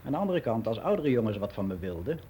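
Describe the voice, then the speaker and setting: Mellow older man reminiscing, faint hiss. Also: fairly low pitch, big pitch swings, fast talker. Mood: candid, reminiscing. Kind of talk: radio play monologue as a personal testimony.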